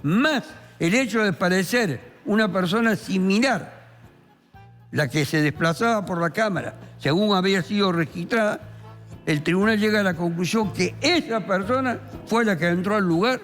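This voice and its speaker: An Argentine man, a criminal lawyer and columnist, telling a court case in a deliberate, theatrical way with emphatic pauses. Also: high voice, expressive, a bit bright. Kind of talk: dramatic storytelling of a court case. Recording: long reverberant hall sound, faint music behind.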